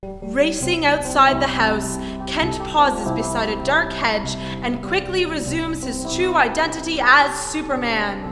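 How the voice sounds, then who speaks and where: Theatrical female serial narrator, acted by a woman, high and unhurried, music behind, echoey hall. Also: animated. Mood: dramatic, suspenseful. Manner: measured, theatrical. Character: dramatic female narrator of a superhero radio serial. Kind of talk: scripted narration, 1940s radio acting.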